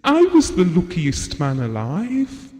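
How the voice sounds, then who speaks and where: Tender, wistful husband, mellow and reverberant. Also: male voice, a little high, wildly swooping pitch, slow pace, heavily acted. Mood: adoring, earnest. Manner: tender, reflective storytelling. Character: devoted, wistful, estranged husband reminiscing about his marriage. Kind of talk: intimate audio drama monologue.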